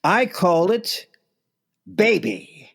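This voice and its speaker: A man's voice: sits high, very animated, drawn-out words, gravelly, a bit bright, heavily acted. A man playing a self-absorbed, trend-chasing music agent in a comedic radio play, breezy, smug and dismissive.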